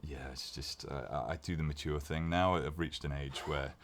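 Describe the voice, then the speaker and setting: Deep-voiced man from Wales in his thirties, slightly hoarse, chatty guest with easy laughs. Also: fairly even intonation, normal speed, fairly bright tone. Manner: chatty, laughing, frequent restarts and fillers. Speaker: guest dentist. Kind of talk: casual chat.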